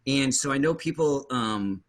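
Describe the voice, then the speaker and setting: A male podcast host with a bright, forward tone. He leads the conversation casually, his questions meandering with fillers and restarts. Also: mid-range voice, lively intonation, unhurried. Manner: rambling questions with many fillers.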